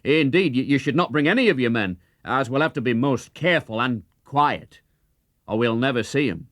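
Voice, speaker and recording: A man's voice: medium pitch, expressive, moderate pace, a bit bright, no room sound, clean studio sound, voice pushed up, lightly acted. A man voicing a bold, sly outlaw who talks eagerly and persuasively in a children's audiobook. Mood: feigned awe.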